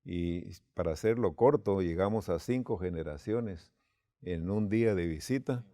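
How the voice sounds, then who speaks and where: A man's voice: low-pitched, moderate pace, mellow, dry recording, studio quality. A man from Guatemala, telling a personal story unhurriedly with quoted dialogue, as a church leader.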